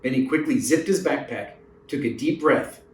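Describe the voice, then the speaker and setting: A man's voice: mid-range voice, moderate pace, slight background noise. A man reading a children's story aloud as a narrator, recorded in a roomy space away from the mic. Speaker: storybook reader. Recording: roomy echo, distant mic.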